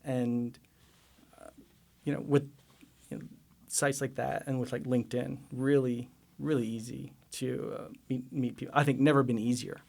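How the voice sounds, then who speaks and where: A man's voice: mid-range voice, little pitch movement, normal speed, creaky, darkish tone. A man hosting a podcast, interviewing a guest with encouraging follow-up questions. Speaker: podcast host.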